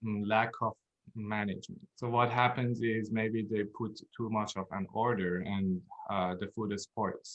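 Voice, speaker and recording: A man from Iran, explaining research in halting phrases as an interview guest. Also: fairly low pitch, steady tone, dull audio.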